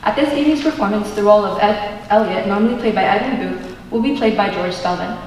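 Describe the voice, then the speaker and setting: Brisk theatrical young woman as stage manager, echoing hall. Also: medium pitch, darkish tone, close to an everyday voice. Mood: formal announcement. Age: young adult. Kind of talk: stage play acting. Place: high school auditorium stage. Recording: reverberant hall from far off, faint noise.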